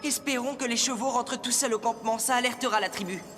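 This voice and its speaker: A woman playing a level-headed, earnest young boy hero in a French animated series. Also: fairly high pitch, fast talker, close to an everyday voice. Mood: calm, concerned. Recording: music behind the voice.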